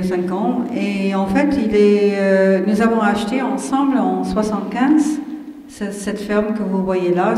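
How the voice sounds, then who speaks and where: A woman's voice: mid-range voice, warm tone, a little hiss or hum. An older woman from English-speaking Canada with an English Canadian accent, whose first language is English, giving a chatty, digressive, self-deprecating public lecture. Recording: echoey hall from a distance.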